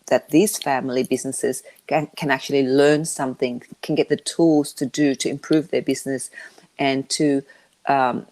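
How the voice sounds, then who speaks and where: Woman, low voice, non-native English speaker, hesitant, frequent restarts, interview guest. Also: darkish tone. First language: not English. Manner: halting, with frequent restarts and fillers. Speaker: interview guest, media business founder. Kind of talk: conversational interview answers.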